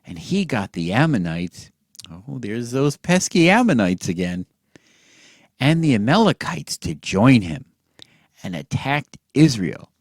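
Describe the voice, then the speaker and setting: A man telling a satirical bedtime story as if to children, in a theatrical, mock-earnest way with drawn-out words and hushed drops. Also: medium pitch, big pitch swings, no echo, studio quality.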